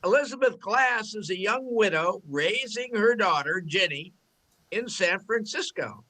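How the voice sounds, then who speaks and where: An older man playing a theatrical, deliberate announcer narrating an old-time radio soap opera. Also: sits high, expressive, pushed and bright, exaggerated voice. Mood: grand, dramatic.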